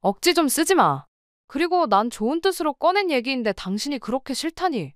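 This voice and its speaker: 여성이 연기하는 억울한 식당 사장 아내. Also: female voice, high voice, sing-song, a little fast, dry recording, studio quality. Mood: arguing. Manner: pleading, then sharply arguing. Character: exasperated wife who runs a restaurant. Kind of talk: radio drama acting.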